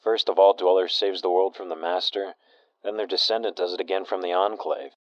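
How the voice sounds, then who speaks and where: A man playing a genial, deadpan talk radio host in an audio drama. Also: low-pitched, fairly quick, no room sound, muffled recording, lightly acted. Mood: earnest.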